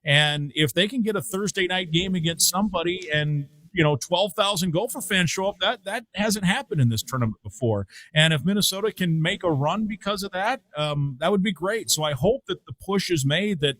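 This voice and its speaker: A man's voice: sits high, lively intonation, fairly quick, a bit bright, poor audio. A middle-aged man from Iowa, a radio play-by-play broadcaster chatting about college basketball, thoughtful with frequent fillers and restarts. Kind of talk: casual sports talk.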